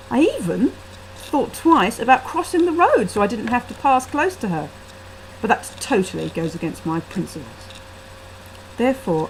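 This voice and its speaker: A woman narrates gothic fiction in a highish, swooping, expressive voice. It is an amateur reading on a laptop microphone, with faint noise behind her. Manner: expressive, acting out the dialogue. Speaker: author reading her own short story. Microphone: built-in laptop webcam microphone.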